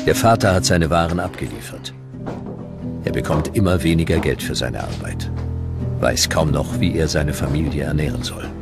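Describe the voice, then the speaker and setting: Man, very low pitch, measured documentary narration, serious, music underneath.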